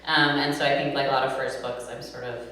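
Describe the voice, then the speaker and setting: A woman's voice: rumbling low, level tone, quick pace, fairly bright tone. An American woman with a nasal voice, giving a live poetry reading and introducing poems casually in her own words. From New York City, USA. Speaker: poet reading own work. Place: reverberant room at a live reading event. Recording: echoey hall, distant mic, humming noise.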